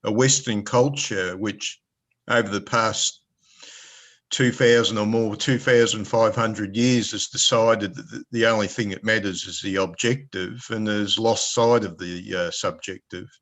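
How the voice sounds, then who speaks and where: A man's voice: fairly low pitch, fairly even intonation, a little slow, a bit bright. A man in a group book discussion speaking reflectively and philosophically, with hesitant restarts.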